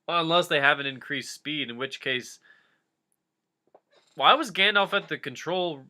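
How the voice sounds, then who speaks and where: A man's voice: a little high, measured pace, sharp tone. A man giving a comedic reading of fan fiction, breaking off into casual, jokey asides.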